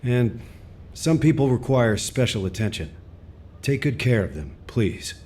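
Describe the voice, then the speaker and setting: An actor playing a calm, supportive male commander giving orders and praise. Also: medium pitch, measured pace, slight background noise. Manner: calm and encouraging. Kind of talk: game cutscene voice acting.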